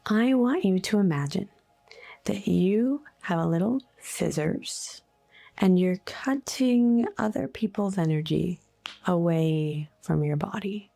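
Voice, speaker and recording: A woman leading a guided meditation in a soothing, unhurried, gently instructive way, with breathy phrase endings. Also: fairly low pitch, lively intonation. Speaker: guided meditation host, energy healer. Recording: soft music bed behind voice. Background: faint music.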